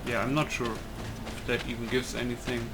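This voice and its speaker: A man's voice: mid-range voice, level tone, normal speed, background noise. A man doing casual let's play commentary of a video game, muttering and thinking aloud to himself. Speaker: gamer playing through a video game.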